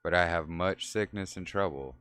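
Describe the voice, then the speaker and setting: A man's voice: low-pitched, moderate pace, fairly bright tone. A man reading the lines of an old, dying patriarch in solemn archaic speech.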